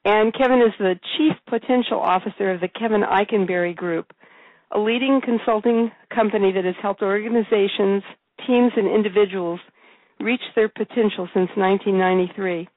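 Raspy-voiced woman on a phone line. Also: medium pitch, normal speed, no echo. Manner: conversational with fillers and restarts. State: hoarse, with a cough. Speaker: host and executive coach. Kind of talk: interview. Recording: dry tinny phone line.

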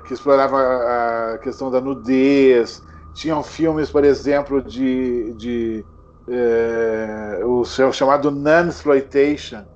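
A man speaking as the invited expert, explaining in a halting way and often repeating words as he searches for them. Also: mid-range voice, a little mellow, lo-fi sound, slight background noise. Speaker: film genre expert guest. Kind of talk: casual explanatory chat.

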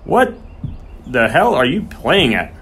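A man's voice: on the low side, expressive, fairly quick, fairly bright tone, slight background noise. A man voicing a sneering, bad-tempered horse-keeper in an audiobook, snarling and sarcastic. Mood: furious, incredulous.

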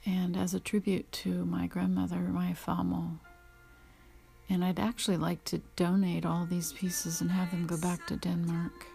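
A middle-aged American woman talking informally and personally about her own paintings, halting, reflective and emotional. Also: lowish voice, completely flat delivery, gravelly, warm tone, soft background music. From United States.

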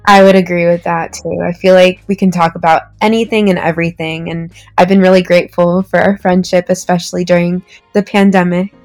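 A woman's voice: fairly low pitch, little pitch movement, fairly quick, few pauses, faint music behind. A young woman from Southern California, a podcast guest telling her story in a friendly, casual way with frequent likes. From Orange County, California. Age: young adult. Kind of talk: casual interview chat.

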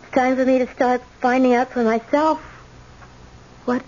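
Actress as unhappy teen granddaughter in radio play, high voice, earnest, kinda hesitant, muffled old recording. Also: female voice, fairly even intonation, moderate pace, a little mellow, slight background noise, natural voice. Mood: weary, wistful. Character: weary, unhappy eighteen-year-old granddaughter. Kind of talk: old-time radio drama acting.

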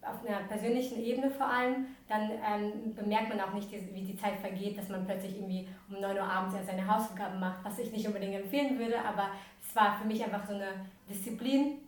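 A woman's voice: mid-range voice, fairly even intonation, brisk, few pauses. A young woman from Ukraine, a podcast guest, talking casually with many fillers and restarts. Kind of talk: casual interview talk. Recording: echoey room, distant from mic.

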